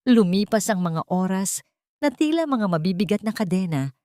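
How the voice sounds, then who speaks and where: A woman's voice: mid-range voice, lively intonation, moderate pace, mellow, no echo, studio quality. A woman narrator reading a dramatic audiobook story in a scripted storytelling style.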